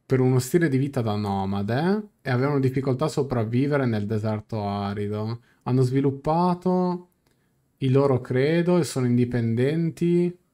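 A young man streaming a game, translating dialogue aloud in a halting, casual reaction. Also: mid-range voice, dark tone. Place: small room indoors, on webcam.

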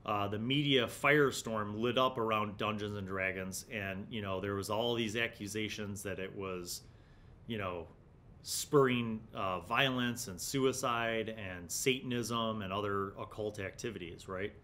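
A man's voice: a little slow, sharp tone. A middle-aged man gives casual, conversational commentary as host of a gaming review series, thinking aloud. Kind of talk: casual commentary while leafing through a magazine, reading bits aloud. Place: small indoor home studio. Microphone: desk condenser microphone in front of him. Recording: clear low background rumble noise.

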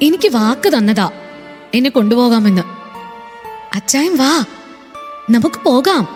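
Lady playing a teasing ghost wife, high lilting voice, big reverb, music under it. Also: lively intonation, measured pace, a little mellow. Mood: playful. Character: late wife's spirit, playful, coaxing, affectionate. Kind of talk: radio drama acting.

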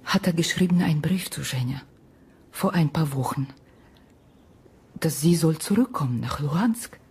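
An actress playing a sorrowful Ukrainian woman in a radio drama, speaking halting, broken German in a breathy half-whisper with an Eastern European accent. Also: low voice, lively intonation, darkish tone, exaggerated voice. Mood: wistful. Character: sorrowful Ukrainian woman recounting a lost love. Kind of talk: radio drama acting, intimate storytelling.

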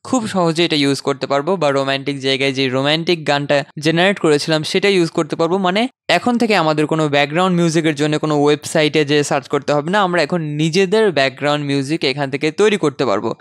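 A man hosting a casual software tutorial, conversational and dropping in English terms. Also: a little high, very fast, no room sound, clean studio sound. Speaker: tutorial host. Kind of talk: casual software tutorial screen-recording narration.

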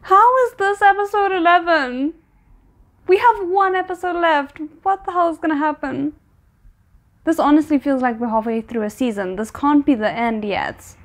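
A young woman with a somewhat high-pitched voice gives casual reaction commentary. She talks in an earnest, thoughtful way, often reworking a phrase as she searches for the right one. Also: normal speed. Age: young adult. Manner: earnest, reflective, hesitant phrasing. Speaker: anime reaction host. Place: small bedroom, indoors.

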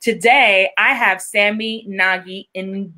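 A woman acting coach hosting a live-streamed interview, bubbly and animated, quick to laugh. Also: mid-range voice.

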